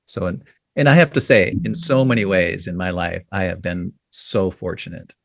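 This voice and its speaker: A man in his fifties, a guest in an interview, talking reflectively with a deep voice, often pausing and restarting with "you know". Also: animated, no echo. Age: 50s. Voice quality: deep, gravelly voice. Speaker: guest, writing coach.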